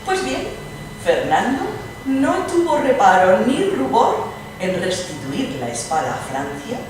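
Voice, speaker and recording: A woman's voice: medium pitch, very animated, a little slow. A woman playing a gossipy, mocking princess in a dramatized radio-play reading, telling stories with theatrical irony. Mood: mocking relish. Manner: theatrical, playfully ironic storytelling. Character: lively gossipy princess, Russian ambassador's wife, mocking. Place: live stage reading in a hall. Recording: distant roomy live recording, clear noise.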